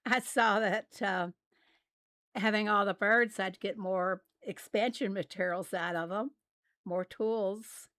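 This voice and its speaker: A woman with a raspy voice, chatting casually with viewers while she plays a farming game. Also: mid-range voice, steady tone, a bit bright, no room sound. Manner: unhurried, chatty, reads out and answers viewer messages. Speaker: game livestreamer. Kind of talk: casual livestream chat while playing.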